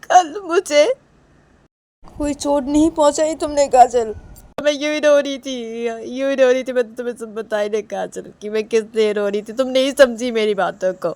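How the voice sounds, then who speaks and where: A woman's voice: medium pitch, moderate pace, pauses often, pitched above the performer's own voice, natural voice. A woman voicing an upset young woman who scolds out of care, insistently repeating her phrases in an improvised dramatic story. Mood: hurt, reproachful.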